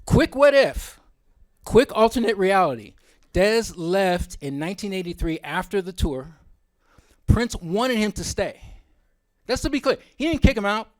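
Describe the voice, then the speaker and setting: A man's voice: high voice, very animated, measured pace. A man presenting at a symposium in an informal, opinionated way, often wandering into asides.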